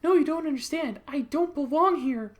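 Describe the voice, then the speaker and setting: A performer voicing a frantic young woman captive who pleads and protests her innocence in a whiny, drawn-out way. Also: high voice, voice pushed up, close to an everyday voice. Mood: protesting.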